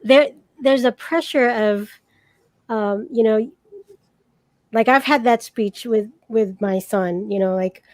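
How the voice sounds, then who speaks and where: A woman moderating a panel discussion, introducing guests and asking questions in a measured way. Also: on the high side, a little mellow, no echo. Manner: measured, with fillers and restarts.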